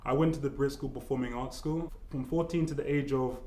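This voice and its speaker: A man's voice: medium pitch, steady tone, a little fast, a little mellow, slight background noise. A man with a London accent talking candidly in an interview. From North London, England. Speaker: actor being interviewed. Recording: roomy echo, faint music behind.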